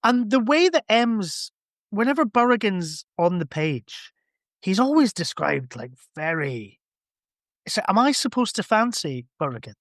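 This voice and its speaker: A man's voice: sits high, sing-song, unhurried, no echo, studio quality. A man chatting casually in a rambling, hedging way, as a guest on a podcast. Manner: hedging, rambling, full of kinda and sort of. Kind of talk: casual chat about science fiction books.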